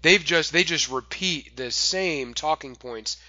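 A man's voice: on the high side, measured pace, sharp tone, no echo, highs cut off. Emphatic male interview host. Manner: emphatic, building intensity. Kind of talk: political interview.

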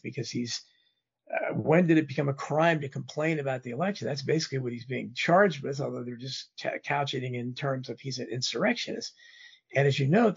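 Male guest commentator, hoarse and losing his voice, halting delivery, raspy and dull-sounding. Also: mid-range voice. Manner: rambling, halting with restarts. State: losing his voice, throat-clearing. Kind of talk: political interview.

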